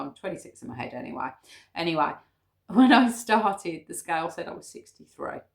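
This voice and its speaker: A woman's voice: low voice, creaky, a bit bright. A British woman in her fifties talking casually to camera, explaining her figures step by step with frequent ums. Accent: British English. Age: 50s. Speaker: weight-loss vlogger.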